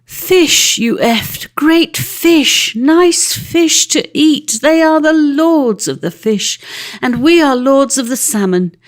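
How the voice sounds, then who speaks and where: A woman voicing a wicked, haughty mother otter from a storybook, sharp, emphatic and scornful. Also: sits high, expressive, takes it slowly, pitched above the performer's own voice. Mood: boastful. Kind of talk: storybook character voice.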